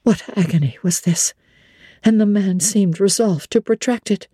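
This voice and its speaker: A woman narrating a classic novel in the first person, in a hushed, earnest voice. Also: medium pitch, normal speed, husky, no echo, studio quality. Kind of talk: dramatic audiobook reading.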